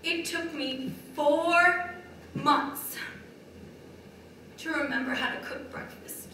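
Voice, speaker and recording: Woman performing battered wife, stage monologue, slow halting phrases, bright tone, wounded, far-off echoey recording. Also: high voice, fairly even intonation, full character voice. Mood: subdued, haunted. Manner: deliberate, halting delivery with short broken phrases. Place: large echoey room, distant microphone. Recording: very echoey hall from far off, faint noise.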